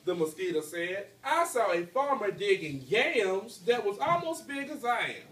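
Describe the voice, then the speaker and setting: A man's voice: high-pitched, sing-song, measured pace, a bit bright. Man teaching in an echoey classroom. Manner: checks understanding often, tagging phrases with okay. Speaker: teacher reading aloud to a class. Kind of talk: classroom read-aloud with questions to pupils. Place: school classroom, indoors. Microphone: phone held by someone across the room. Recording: echoey noisy classroom from a distance, phone recording.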